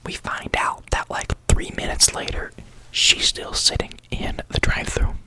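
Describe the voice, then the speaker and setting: A tired young adult YouTuber telling a story in a soft unvoiced whisper, rambling with many likes and ums. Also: measured pace, poor audio.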